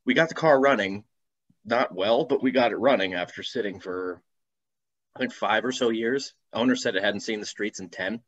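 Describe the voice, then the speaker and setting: A man's voice: lowish voice, moderate pace, fairly bright tone. A man telling casual, rambling anecdotes with self-deprecating humor as a guest on a video call. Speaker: guest, car enthusiast and YouTuber. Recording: slightly dull video call audio.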